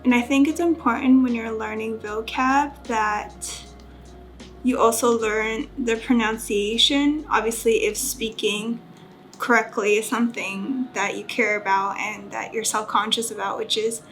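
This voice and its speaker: A woman's voice: on the high side, steady tone, unhurried, rough recording. A woman chatting casually as a study vlogger, carefully sounding out vocabulary as she learns. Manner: self-conscious, careful when pronouncing foreign words. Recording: background music under voice. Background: music.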